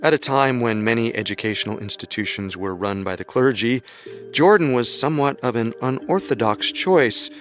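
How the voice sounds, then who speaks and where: Male narrator, scripted, measured, even, medium pitch, faint music.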